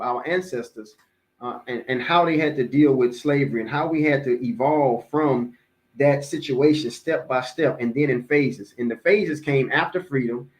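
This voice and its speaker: Male imam, middle-aged, medium pitch. Deliberate, emphatic teaching delivery that repeats key phrases. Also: low-quality recording. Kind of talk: religious public address, motivational teaching.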